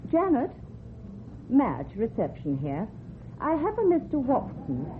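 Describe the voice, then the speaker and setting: Brisk high-voiced receptionist, tinny recording. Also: female voice, wildly swooping pitch. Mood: businesslike. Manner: clipped, polite, matter-of-fact, dismissive. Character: brisk, officious hospital receptionist. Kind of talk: radio drama acting. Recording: muffled, phone-like old radio recording, hissy.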